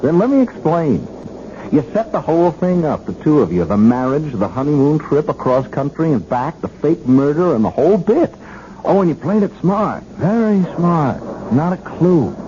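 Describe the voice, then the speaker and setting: An actor playing a dogged, plain-spoken police officer in 1970s radio drama style, colloquial and insistent. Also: male voice, on the high side, sing-song, normal speed, mellow. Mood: accusing, firm. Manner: colloquial, hesitant openers, insistent. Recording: noisy, dull 1970s radio broadcast.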